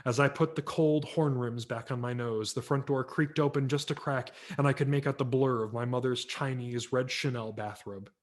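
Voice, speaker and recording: A man's voice: medium pitch, normal speed, hardly any pauses. A man, a professional audiobook narrator, giving a vivid dramatic reading of a humorous memoir over a video call. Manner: vivid, expressive storytelling.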